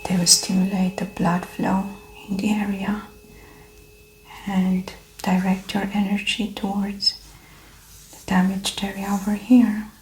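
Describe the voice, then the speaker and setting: A woman speaking softly and breathily in an ASMR medical roleplay, gently explaining each step as a doctor. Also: mid-range voice, flat delivery, measured pace. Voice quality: soft, breathy, whispery. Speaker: ASMR performer playing a doctor. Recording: roomy echo, faint background noise.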